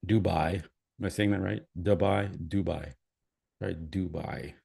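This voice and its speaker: Low gravelly Canadian livestream host. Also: male voice, a little slow, mellow. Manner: chatty, reads out viewer comments. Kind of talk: casual live commentary.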